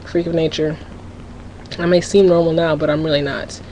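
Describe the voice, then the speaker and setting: A woman's voice: low-pitched, level tone, moderate pace, dark tone, slight background noise, low-quality recording. Teenage girl rambling candidly to camera. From Minnesota, USA. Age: teens. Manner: rambling, candid, restarts sentences often. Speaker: vlogger. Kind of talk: casual confessional webcam vlog.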